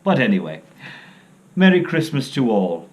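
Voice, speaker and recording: Theatrical, very animated male storyteller. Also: medium pitch, moderate pace. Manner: theatrical, expressive, tongue-in-cheek. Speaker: storyteller, host. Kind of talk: dramatic poem reading with comic playful asides.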